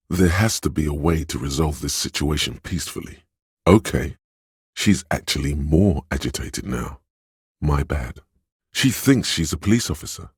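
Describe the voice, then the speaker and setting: Smooth-talking inner voice of persuasion for a game: extremely low, very husky, insinuating advisor. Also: male voice, no room sound, studio quality, heavily acted. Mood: coaxing, advising, then gently guiding. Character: suave, coaxing inner voice of persuasion, male. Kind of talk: video game voice acting, advisory narration.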